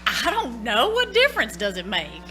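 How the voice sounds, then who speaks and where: A woman's voice: on the high side, huge pitch swings, normal speed, sharp tone, heavily acted. An actress playing a plucky young female hitchhiker in a 1940s-style radio play, talking in brash, slangy phrases. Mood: breezy. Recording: stage radio play with music.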